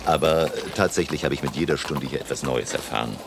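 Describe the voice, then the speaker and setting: An actor playing a courteous, earnest older professor in a radio play, speaking in a deliberate, courtly way. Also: male voice, extremely low pitch, background noise. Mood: warm, animated. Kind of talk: German radio play acting.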